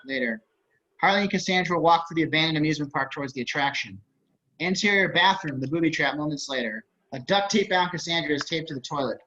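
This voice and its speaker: A man's voice: on the high side, pushed and bright. A man reading out stage directions as narrator of a script table read over a video call. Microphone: computer on a video call. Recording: dull, lo-fi video-call audio.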